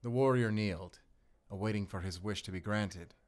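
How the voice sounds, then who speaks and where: A man acts out a mysterious werewolf hunter for a cinematic roleplay. His voice sits low, and he talks softly with dry, teasing wit. He comes across as protective, like someone keeping night watch and telling bedtime stories. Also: moderate pace, natural voice. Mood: hushed storytelling. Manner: soft-spoken teasing, switching between English and German. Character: mysterious German-speaking male werewolf hunter, wry and protective. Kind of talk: cinematic audio roleplay, intimate bedtime storytelling.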